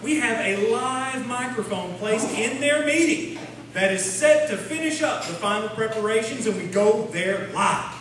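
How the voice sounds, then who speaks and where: A man's voice: high voice, lively intonation, measured pace, fairly bright tone. A man playing a folksy small-town host of a comic radio revue, announcing the segments. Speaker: show host. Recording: very echoey stage, distant mic, music.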